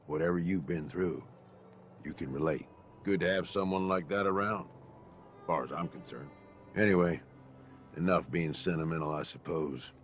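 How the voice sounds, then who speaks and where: An actor playing a gruff, jaded raider lieutenant in a video game, blunt and plain-spoken with a folksy turn of phrase. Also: male voice, sits low, normal speed, pauses often, faint music behind, natural voice. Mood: earnest, gruff. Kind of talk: video game character dialogue.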